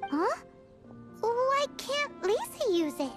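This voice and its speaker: A woman voicing a tiny, excitable, childlike fairy companion girl in a video game, nosy and blunt, with a squeaky voice. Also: takes it slowly, over loud music, heavily acted. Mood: eager, nosy. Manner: exclamatory, talks about self in third person. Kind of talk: video game character dialogue.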